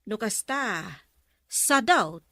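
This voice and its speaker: A woman's voice: a little high, lively intonation, very slow, pushed and bright, no echo, heavily acted. A woman playing a chatty, nosy village storekeeper in a comedy audio drama, gossipy and teasing. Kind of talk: comedy radio drama acting.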